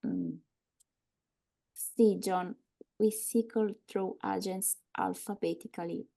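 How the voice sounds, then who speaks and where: An actress playing a smug government agent posing as a nurse, cajoling with deliberate, condescending, mock-soothing calm in a screenplay read. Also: female voice, lowish voice, gravelly.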